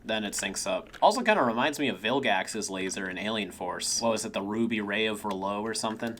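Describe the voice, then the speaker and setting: A man's voice: fairly low pitch, expressive, fairly quick. Casual guy reacting, faint noise behind. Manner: reactive, informal, swears casually. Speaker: video host, animation reviewer. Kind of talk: casual episode breakdown commentary.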